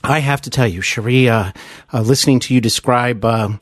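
A man's voice: medium pitch, fairly quick, no echo, clean studio sound. An American man interviewing in an earnest, conversational way as a podcast host, feeling his way through phrases.

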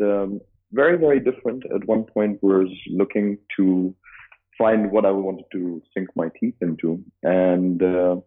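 A man from Dubai, a business co-founder answering interview questions in a halting way, with lots of filler sounds and restarts. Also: low-pitched. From Dubai, UAE. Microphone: phone call. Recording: tinny phone line.

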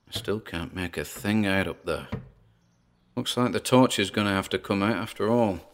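Man acting a gravelly, nervous paranormal investigator. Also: fairly low pitch, normal speed, lightly acted. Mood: apprehensive. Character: nervous, driven male paranormal investigator recording himself alone. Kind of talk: audio drama, found-recording monologue.

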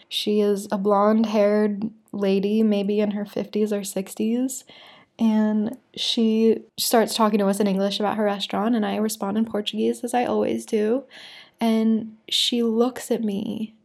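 A woman's voice: medium pitch, level tone, unhurried, a little mellow. A young American woman telling a personal story to camera in a casual, candid way, full of "like" fillers. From United States. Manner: chatty, frequent "like" fillers, candid. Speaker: vlogger.

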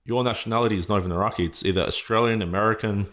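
A man's voice: lowish voice, racing, fairly bright tone. A man being interviewed, explaining his views patiently and often checking in with "right?". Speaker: interview guest. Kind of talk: earnest conversational explaining.

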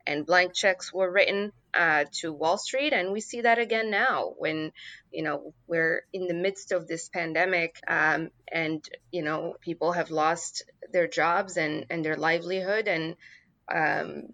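Lower-pitched woman. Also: a little slow, a bit bright. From Morocco. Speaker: political candidate, interview guest. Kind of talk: earnest campaign interview answers.

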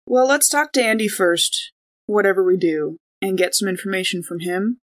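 A woman's voice: medium pitch, normal speed. Casual woman talking through game strategy. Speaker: tabletop game player. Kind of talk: casual in-character tabletop discussion.

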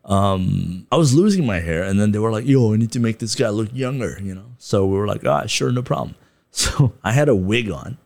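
A man's voice: fairly low pitch, expressive, fairly quick, creaky, darkish tone. A man from Darjeeling with a slight Darjeeling accent, chatting casually as a podcast guest, laid-back and laughing often while he acts out quotes. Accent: Darjeeling Nepali. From Darjeeling, India. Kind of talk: casual chat.

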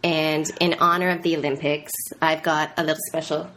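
Middle-aged female podcast co-host, very low-pitched, congested and nasal, playful, faint background noise. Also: flat delivery, brisk, a bit bright, rough recording. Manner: playful, teasing. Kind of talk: casual chat.

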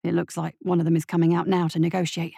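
A woman's voice: fairly low pitch, flat delivery, fast, vocal fry, dark tone, no echo, studio quality, pitched below the performer's own voice. A woman voicing a dutiful male police deputy in an audiobook, grim, deferential and matter-of-fact. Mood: coldly pragmatic. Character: dutiful, pragmatic male police deputy reporting to his captain.

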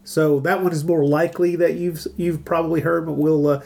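A man with a slightly high voice hosts a podcast in an informal way. He chats casually and gives his opinions with emphasis. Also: level tone, a little fast, vocal fry, a little mellow. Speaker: podcast host. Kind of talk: casual chat.

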